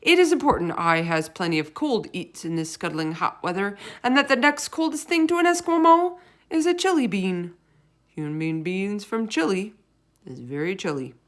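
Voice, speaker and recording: A woman acts out a huge, brutish male giant from a storybook. He is greedy and bullying, bellowing his threats and insults in coarse, broken grammar, pushed louder and higher than plain narration. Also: lively intonation, normal speed, natural voice. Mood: gloating. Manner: loud, roaring, insulting, ungrammatical speech. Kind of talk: storybook read-aloud character voice.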